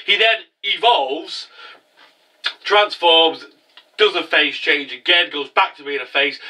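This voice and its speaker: A man's voice: a little high, slow, sharp tone, recorded from a distance. A young man with a cold, hosting a profane, rambling casual review show. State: congested from a cold. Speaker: anime review host. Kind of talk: casual recap commentary.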